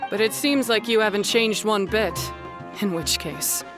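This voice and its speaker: An actress playing a proud, stern young beast tamer rival in a video game, cold, commanding and dismissive. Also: female voice, medium pitch, measured pace, natural voice. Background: game music.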